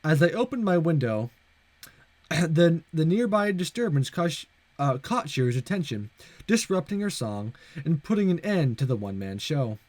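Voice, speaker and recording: A man's voice: a little high, big pitch swings, normal speed, a little mellow, no room sound. A man narrating a visual novel aloud in a casual let's-play style, with asides. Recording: music behind the voice.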